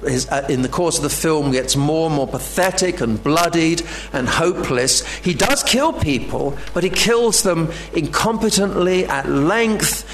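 A man giving an academic lecture, halting and full of fillers and restarts. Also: fairly high pitch, expressive.

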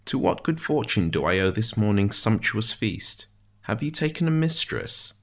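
A man's voice: lowish voice, very animated, normal speed, voice pushed up. Man doing a dry, wry, theatrical prisoner. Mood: sly, jovial. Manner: precise, wry, florid. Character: wry, precise, theatrical male prisoner in a dungeon.

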